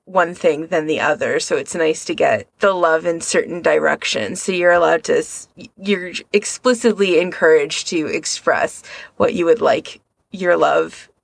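A woman's voice: sits low, unhurried, vocal fry, husky, dry recording. A woman co-hosting a podcast, explaining things in an informal, conversational way. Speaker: podcast co-host. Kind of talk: casual podcast chat.

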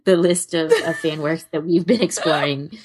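A woman's voice: low-pitched, steady tone, normal speed, a little mellow, slightly rough. A woman co-hosting a podcast in casual fan chat, rambling and self-deprecating in an amused way. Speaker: podcast co-host.

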